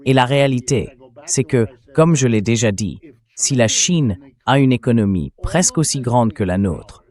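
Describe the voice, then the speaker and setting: A man's voice: mid-range voice, expressive, normal speed, darkish tone. A man's synthetic AI voice-over delivering conversational, explanatory political commentary as a dubbed interview.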